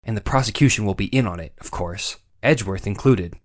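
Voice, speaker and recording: A woman's voice: rumbling low, fairly quick, darkish tone. A woman voicing an earnest, wry young male defense lawyer in a video game dub. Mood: brooding.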